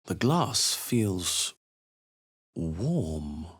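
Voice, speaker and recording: A fantasy game hero, cool-headed and suspicious. His voice sits fairly low with a rough, breathy husk. He delivers short remarks in a dry, theatrical way. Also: sing-song, extremely slow, a bit bright, full character voice. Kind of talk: video game voice lines.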